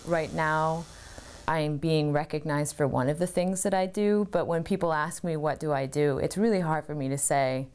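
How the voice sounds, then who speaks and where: A woman's voice: low-pitched, moderate pace, darkish tone, clean tone. A woman in her thirties, an artist, talking thoughtfully about her work in an interview, recorded on a lapel mic in her cluttered home studio. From United States.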